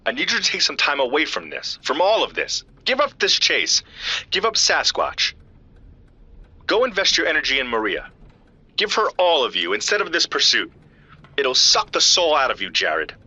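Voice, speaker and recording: A worried, husky-voiced friend on a call. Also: male voice, fairly high pitch, bright, forceful tone, highs cut off, exaggerated voice. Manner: urgent, pleading. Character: worried adult man friend, urgent and pleading. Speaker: caller. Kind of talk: audio drama acting.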